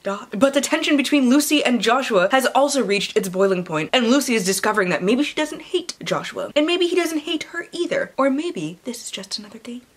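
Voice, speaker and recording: A woman's voice: on the high side, animated, fairly quick, few pauses. A young woman book vlogger, chatting casually and reading book blurbs dramatically, with playful, theatrical delivery and sarcastic asides. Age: young adult. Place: small room at home lined with bookshelves.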